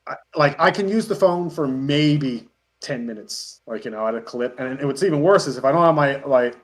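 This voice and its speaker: A man's voice: mid-range voice, lively intonation, moderate pace. A man in his thirties chatting casually as an interview guest, telling rambling, chuckly stories. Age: 30s. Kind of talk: casual chat.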